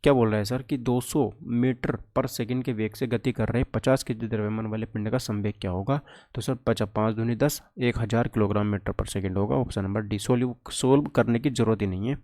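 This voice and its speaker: A man's voice: on the low side, fairly even intonation, fairly quick, few pauses, darkish tone. A man teaching an exam lesson conversationally, often asking questions and answering them himself. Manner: conversational, asks and answers his own questions. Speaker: physics teacher, exam coach.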